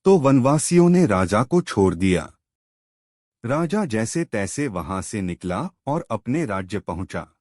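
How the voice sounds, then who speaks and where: A man narrating a moral story in a slow, measured way, ending with a lesson for the listener. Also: fairly low pitch, big pitch swings, a little mellow, no room sound, studio quality.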